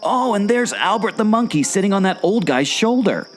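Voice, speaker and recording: A man playing an excitable, skeptical, wisecracking amateur explorer in a scripted audio drama, with a theatrical, jokey delivery. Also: high voice, normal speed, faint music behind. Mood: intrigued. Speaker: co-host of a theme park podcast.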